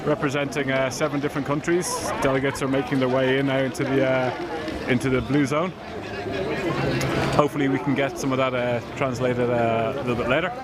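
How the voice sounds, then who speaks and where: Chatty man reporting live on a loud street. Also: mid-range voice, flat delivery. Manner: chatty, halting, many fillers. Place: busy city street outdoors. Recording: loud crowd and music behind.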